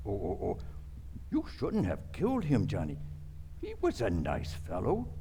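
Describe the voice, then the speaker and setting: A man playing a nervous, soft-hearted criminal doctor in a radio comedy, stammering and pleading in foreign-sounding broken English. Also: fairly low pitch, wildly swooping pitch, a little slow, noisy background, heavily acted. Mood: anxious pleading. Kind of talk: old-time radio comedy acting.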